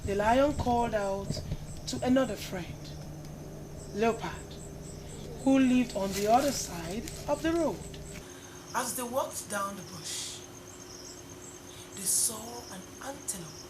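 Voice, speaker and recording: A woman storyteller with a fairly high voice narrates a bedtime tale. She goes slowly, over clearly audible chirping insects and ambient noise.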